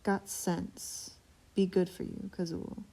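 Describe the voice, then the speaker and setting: A woman reading aloud, voicing a gruff, kindly old male dragon from a storybook who talks in short, clipped phrases. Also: lowish voice, level tone, slow pace, mellow, full character voice.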